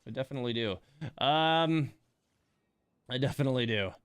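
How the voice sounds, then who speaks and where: A man's voice: medium pitch, normal speed, fairly bright tone, clear, resonant tone, no echo. A man hosting a game livestream, chatting casually in a rambling, self-deprecating way. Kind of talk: casual livestream chat while playing a game.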